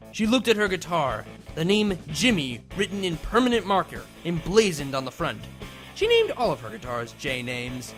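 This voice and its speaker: A man's voice: high-pitched, fairly bright tone. A man reading comedic fan fiction aloud as a host, with a theatrical, mock-dramatic delivery. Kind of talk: animated comedic story narration.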